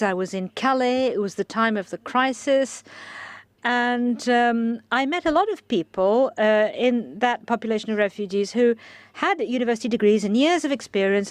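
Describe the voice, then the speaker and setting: A woman interpreting a panel discussion into English, measured, with many pauses and fillers. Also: fairly high pitch, no echo.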